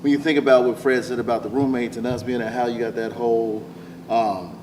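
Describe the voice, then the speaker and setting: A man's voice: mid-range voice, flat delivery, brisk. Distant man's voice over noise. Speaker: actor being interviewed. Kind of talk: casual interview talk. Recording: noisy room, distant microphone.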